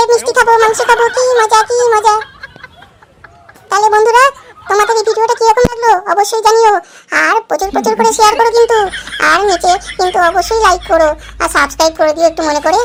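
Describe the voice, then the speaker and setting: An actor voicing an excitable, cheeky little child in a comic cartoon. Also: very high voice, fast talker, background noise. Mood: gleeful, chatty.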